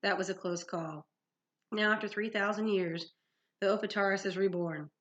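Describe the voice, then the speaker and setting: A woman's voice: mid-range voice, steady tone, normal speed, a bit bright, lightly acted. Woman as a solemn immortal huntress. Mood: grave. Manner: archaic, formal, solemn. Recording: dull lo-fi sound.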